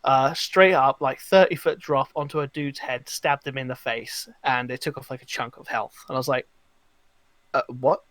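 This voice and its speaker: A man with a mid-pitched voice chats as a podcast panellist. He tells stories in a loose, hesitant, informal way, and the sound is very dry with no room echo. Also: steady tone, normal speed, fairly bright tone. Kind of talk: casual chat, telling anecdotes.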